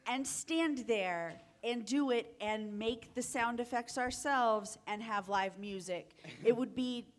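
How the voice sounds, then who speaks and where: A woman's voice: a little high, slow pace. A woman speaking casually as a panelist to a convention audience. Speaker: convention panelist from a radio drama team. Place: convention meeting room.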